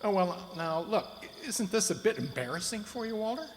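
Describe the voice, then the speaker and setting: A man's voice: high-pitched, big pitch swings, moderate pace. A man acting in an amateur radio drama as a nosy, incredulous friend with a hesitant, disbelieving manner. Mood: astonished, disbelieving. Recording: echoey hall, faint background noise.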